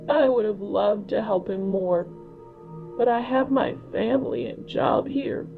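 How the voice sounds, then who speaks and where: Woman doing worried sister of a missing guy, halting and pleading, creaky, muffled radio horror thing with music. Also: medium pitch. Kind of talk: acted radio interview in a horror story. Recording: dull lo-fi radio sound, music behind.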